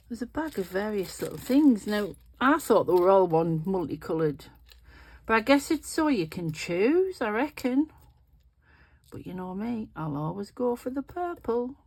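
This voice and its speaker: Sniffly, nasal woman crafting. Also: mid-range voice. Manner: chatty, thinking aloud while working with her hands. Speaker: resin craft video host. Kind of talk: casual craft tutorial talk.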